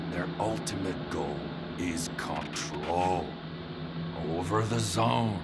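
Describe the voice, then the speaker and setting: An actor playing a weary, intense veteran stalker who talks in a low, measured, brooding way. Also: male voice. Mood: bitter. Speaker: veteran stalker explaining the Zone.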